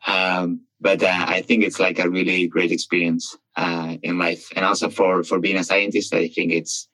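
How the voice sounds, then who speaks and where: A man's voice: deep voice, little pitch movement, normal speed, sharp tone. A man joining a podcast remotely, eager and friendly, often repeating words as he talks. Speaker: researcher, podcast guest. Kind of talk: casual chat. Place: remote, not in the studio.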